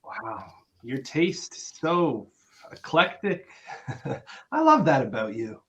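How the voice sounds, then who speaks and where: A man's voice: medium pitch, wildly swooping pitch, slow pace, fairly bright tone, full character voice. A man acting an earnest, awkward, conventional boyfriend with a hesitant delivery, in a dramatic script reading. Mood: awkwardly upbeat. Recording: dull, lo-fi sound.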